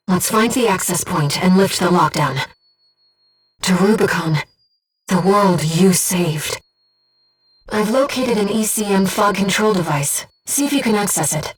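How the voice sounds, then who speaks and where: A woman voicing a gentle, earnest, disembodied female companion in a video game, guiding a pilot with composed, precise callouts and briefings. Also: fairly low pitch, breathy edge, distant mic, low-quality recording. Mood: tender, solemn. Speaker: mission support companion.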